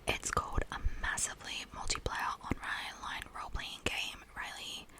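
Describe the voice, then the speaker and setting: A woman's voice: moderate pace, natural voice. A woman voicing an earnest, nerdy teenage gamer girl in a whisper. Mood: explaining.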